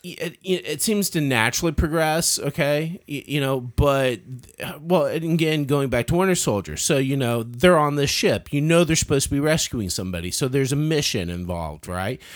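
A man's voice: medium pitch, expressive, normal speed, few pauses. A man giving a casual, opinionated film review as a podcaster, often stammering and repeating words as he starts.

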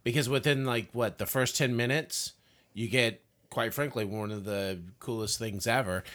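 A man's voice: medium pitch, moderate pace, fairly bright tone. A man on a podcast panel debating a movie casually, with emphatic interjections. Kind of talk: casual movie review chat.

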